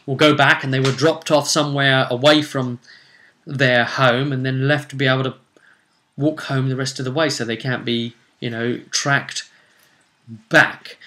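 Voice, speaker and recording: Bright-voiced male tabletop game storyteller. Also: mid-range voice, little pitch movement, unhurried. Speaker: game master and series presenter. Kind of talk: casual storytelling recap of a tabletop fantasy game.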